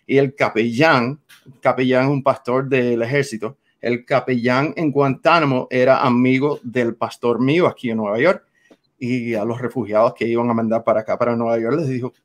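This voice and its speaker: An American man in his forties, speaking Spanish with a Cuban accent, telling stories as a guest on an interview show. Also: medium pitch. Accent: Cuban-influenced Spanish. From United States. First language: English. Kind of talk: casual interview storytelling.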